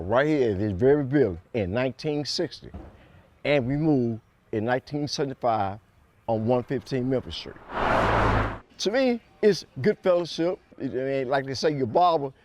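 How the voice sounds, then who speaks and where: A man's voice: mid-range voice, lively intonation, normal speed, a little mellow. A man who works as a barber, reminiscing casually in an interview in his shop. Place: indoors in a barbershop.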